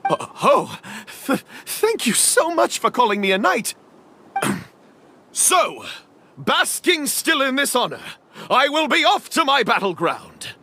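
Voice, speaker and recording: An actor playing an earnest, humble stage knight in a video game, florid and apologetic. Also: male voice, very high-pitched, sing-song, slow pace, pushed and bright, slight background noise, heavily acted. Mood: honored, dramatic.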